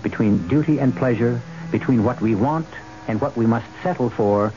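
A man hosting a radio drama with theatrical scripted narration, in measured, dramatic, philosophical phrasing. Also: mid-range voice, slight background noise. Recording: dull old radio broadcast recording. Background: loud music.

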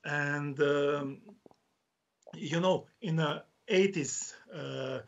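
A man from Croatia speaks English slowly and haltingly, his Croatian accent clear and his sentences full of pauses, fillers and restarts. His voice sits a little high for a man. The sound is dull and lo-fi. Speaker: author. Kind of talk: interview guest.

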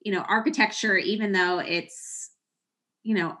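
A woman's voice: mid-range voice, fairly even intonation, measured pace, pushed and bright, a little hiss or hum. A woman pastor hosting a church podcast, introducing and interviewing a guest in a friendly, loosely worded way. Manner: friendly, hedging with you know and fillers. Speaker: executive pastor, podcast host.

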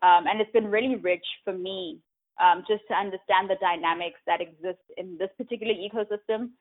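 A woman from Africa, speaking as an interview guest in a thoughtful, casual way, often pausing on "um" and checking in with "right?". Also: medium pitch, fairly even intonation. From South Africa. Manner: thoughtful, many "um"s and "right?" tags. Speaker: guest, tech community co-founder. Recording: tinny phone-like line.

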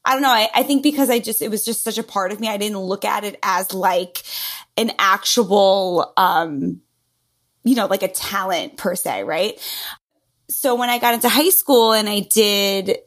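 A woman from New York with a New York accent, a fitness entrepreneur chatting as a podcast guest, laughing often between quick asides. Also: mid-range voice, fairly bright tone. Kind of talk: casual interview talk.

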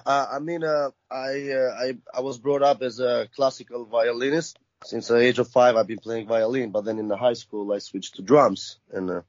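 A man who grew up in Albania talks casually as a band guest, easygoing and a bit halting. The sound is dry and slightly muffled. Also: medium pitch, a little mellow, dry recording. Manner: easygoing, chatty, hesitant restarts. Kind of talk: casual interview chat.

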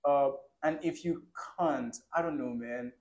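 A man's voice: a little high, measured pace, darkish tone. A man co-hosting a podcast, talking earnestly and rambling, often checking that he is understood. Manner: earnest, rambling, keeps asking "do you understand". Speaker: podcast co-host. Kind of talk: casual podcast conversation.

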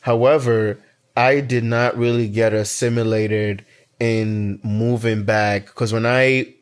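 Lower-voiced man in his twenties. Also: steady tone, takes it slowly, clean tone. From Kenya. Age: 20s. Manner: storytelling, frequent restarts and fillers. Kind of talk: casual conversation.

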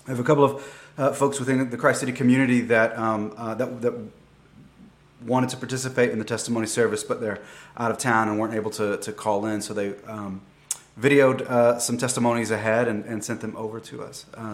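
A man leading a church service as pastor, reflective and lightly halting. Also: medium pitch, steady tone.

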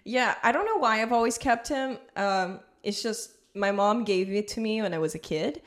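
Animated woman with a lilt. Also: medium pitch. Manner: animated, laughs easily, quick bursts. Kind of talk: casual conversation.

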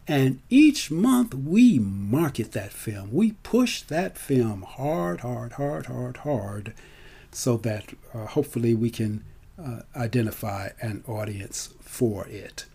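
A man giving an unscripted promotional talk in an emphatic, deliberate way, stretching and repeating key words. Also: medium pitch, sing-song, vocal fry, dark tone, slightly rough. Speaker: storyteller and film festival organizer.

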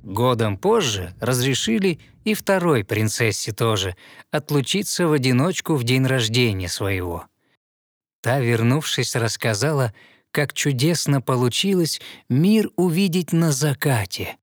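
A man narrating a fairy tale in verse, with measured, rhythmic storytelling. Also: mid-range voice, big pitch swings, dry recording, clean studio sound.

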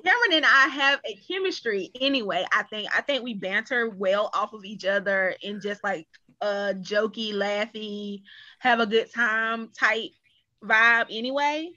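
A woman's voice: mid-range voice, unhurried, pushed and bright. A woman chatting casually as a cast member in an interview, on dull lo-fi audio. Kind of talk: casual chat.

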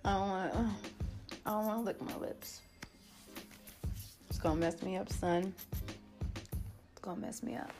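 A young woman from New chats to camera in a loose, rambling way, full of slang and asides. Her New is easy to hear, and her voice sits at an ordinary pitch for a woman. Faint music plays underneath. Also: moderate pace, long pauses. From New York. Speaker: vlogger. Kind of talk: casual chat to camera.

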